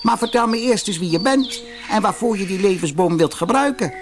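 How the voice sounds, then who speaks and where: A man voicing a tiny, kindly old gnome gardener in a storybook, friendly and brisk. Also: high voice, lively intonation, music in the background, pitched above the performer's own voice. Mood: curious, helpful. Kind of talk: storybook character voice.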